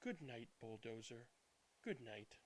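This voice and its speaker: A man narrating a children's bedtime storybook in an expressive, deliberate way. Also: mid-range voice, a little hiss or hum, low-quality recording. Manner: animated, deliberate reading with hushed good nights. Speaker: read-aloud channel host.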